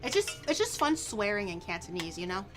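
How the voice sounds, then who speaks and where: A woman's voice: mid-range voice, sing-song, a little fast. A woman whose first language is Mandarin, chatting and giggling in a playful way while cooking on a casual livestream. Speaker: streamer. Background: background music.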